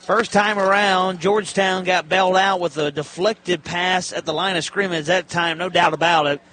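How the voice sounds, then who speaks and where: A high-voiced man calls a college football game on the radio. He describes the action in clipped, detailed phrases. Faint stadium noise sits behind his voice. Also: fairly even intonation, moderate pace, pushed and bright. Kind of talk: live sports play-by-play commentary. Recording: live broadcast with stadium background noise. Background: stadium ambience, faint noise.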